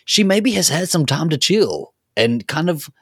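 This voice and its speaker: A man's voice: on the high side, very animated, a little slow, breathy edge, no room sound, clean studio sound. A man co-hosting a casual pop-culture podcast, chatting informally. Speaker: podcast host.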